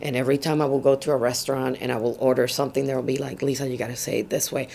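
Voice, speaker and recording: Woman in her thirties with a Puerto Rican accent, Spanish first language, gravelly tone; reflective interview subject, light hiss. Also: a bass voice, flat delivery, racing, dark tone. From Puerto Rico. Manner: reflective, laughs often, many fillers. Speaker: interviewee, social worker. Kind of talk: oral history interview. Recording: faint background noise.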